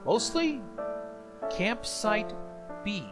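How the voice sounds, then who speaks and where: A man's voice: high-pitched, big pitch swings, extremely slow, over music. A man hosting a reaction show, giving casual explanatory commentary on horror videos.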